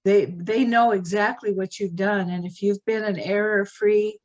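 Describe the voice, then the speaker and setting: A woman's voice: mid-range voice, fairly even intonation, normal speed, a little mellow, lo-fi sound. Woman losing her voice. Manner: practical, many fillers. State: losing her voice, clears throat. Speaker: expert co-host. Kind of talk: casual advice on a video call. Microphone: video call.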